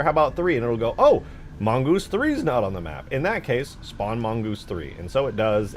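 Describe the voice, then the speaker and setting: A man giving casual, playful livestream commentary as he shows off game modding, using slangy, informal language and thinking out loud. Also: lowish voice. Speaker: live streamer and game modder.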